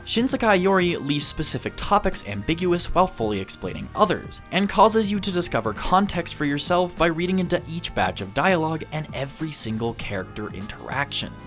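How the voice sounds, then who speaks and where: A man's voice: a little high, big pitch swings, brisk, hardly any pauses, fairly bright tone, soft background music. A man presenting a video essay as the host, speaking to viewers in a review style. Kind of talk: scripted review narration with occasional casual asides.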